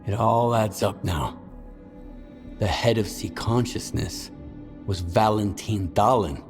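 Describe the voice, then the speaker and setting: A blunt, cynical survivor in a game cutscene, his voice on the low side. He talks tersely and gravely, wary of whoever he faces, over faint music. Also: slow. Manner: terse, grave, matter-of-fact. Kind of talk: dramatic video game cutscene acting.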